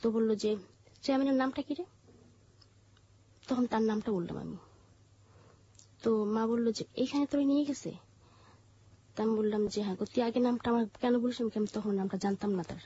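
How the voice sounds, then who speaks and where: Bangladeshi female interview guest, high-pitched husky voice, halting personal narration, dull lo-fi sound. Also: fairly even intonation, mellow, slight background noise. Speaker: interview guest telling her life story.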